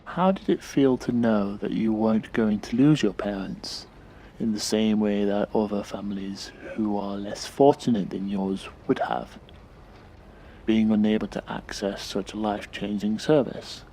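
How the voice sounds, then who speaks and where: An actor playing a calm, probing therapist in an audio drama, with a measured, polite and clinical manner. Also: male voice, on the low side, slight background noise, low-quality recording. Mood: earnest, coaxing.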